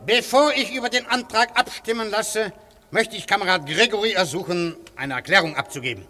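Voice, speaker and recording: A man's voice: sits high, a little fast, bright, forceful tone. An actor playing a stern, formal chairman who presides over a secret meeting with official authority in a radio play. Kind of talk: German radio play acting. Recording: echoey hall with long reverb.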